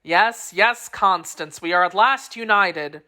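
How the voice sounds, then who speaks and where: Storybook: passionate young swordsman shouting for help, a woman reading. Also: medium pitch, slow pace. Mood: alarmed.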